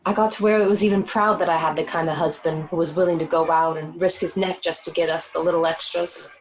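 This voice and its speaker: Gangster's wife for radio drama, played by a woman: lowish voice, candid, matter-of-fact, slightly dull lo-fi home recording. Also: steady tone, fast, close to an everyday voice. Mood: reminiscing. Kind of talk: scripted first-person narration in an audio drama.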